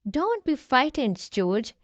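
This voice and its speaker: Woman as high-voiced, gentle mummy pig. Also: big pitch swings, slow, no room sound, heavily acted. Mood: reassuring. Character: gentle, reassuring mother pig.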